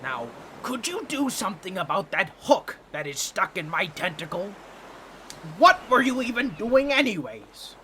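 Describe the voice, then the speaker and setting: A man voicing an excitable, boastful talking octopus in a comedic audio roleplay, with a nasal, squawky voice and a fussy, theatrical, pompous way of talking to the listener. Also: high voice, a little slow, a little hiss or hum, exaggerated voice. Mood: indignant, scolding.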